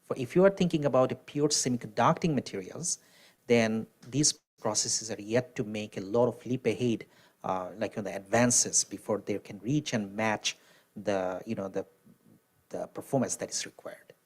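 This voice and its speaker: A man on a panel explaining technical concepts, often pausing with "you know" and restarting his sentences. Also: lowish voice.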